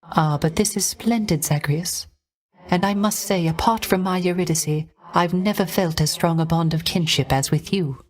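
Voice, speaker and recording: A male actor voicing a gentle, melancholy court musician in a video game, with soft, genteel manners and formal, archaic, theatrical phrasing. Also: high-pitched, moderate pace, lightly acted. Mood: warm gratitude. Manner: soft, genteel, wistful, ornately polite.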